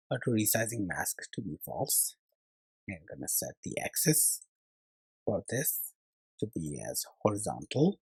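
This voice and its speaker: A man's voice: lowish voice, creaky, husky, dry recording, rough recording. A man narrating a step-by-step coding tutorial as its instructor, hesitantly thinking aloud as he works.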